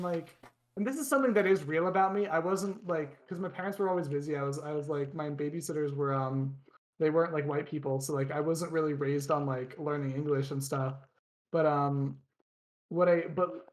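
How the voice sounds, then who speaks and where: A young man co-hosting a casual podcast, telling stories in a rambling way full of restarts and fillers. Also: fairly high pitch. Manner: animated storyteller. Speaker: podcast co-host. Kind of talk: casual chat.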